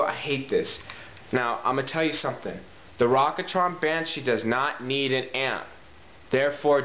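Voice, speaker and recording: A man's voice: medium pitch, a bit bright. A man presenting a casual hands-on how-to video in an informal way, addressing viewers as guys and repeating key points for emphasis. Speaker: tutorial presenter, talk box player. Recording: muffled, echoey, noisy amateur video sound.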